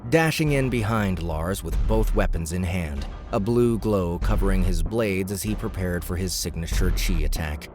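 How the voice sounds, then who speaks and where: A man's voice: deep voice, normal speed, hardly any pauses, over music. A man narrating scripted fantasy fiction as an audiobook reader.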